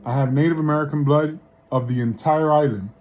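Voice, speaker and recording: A man's voice: a little high, brisk, darkish tone. A man from the Dominican Republic giving a casual spoken monologue, often tagging his points with "right?" and restarting sentences. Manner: conversational, often tags lines with "right?" and restarts. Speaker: vlogger.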